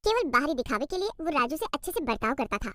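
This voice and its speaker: Female narrator, scripted cartoon storytelling, high animated voice, dry close sound. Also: fast talker, dry recording.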